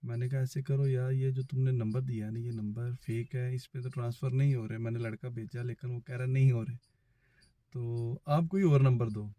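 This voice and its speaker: A Pakistani man recounts a personal experience in a casual, conversational style. His voice is mid-pitched and relaxed, with a dark, mellow tone. He relays both sides of conversations as he goes, and the sound is dry, with very little room in it. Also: normal speed, no room sound. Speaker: vlogger. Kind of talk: casual first-person storytelling.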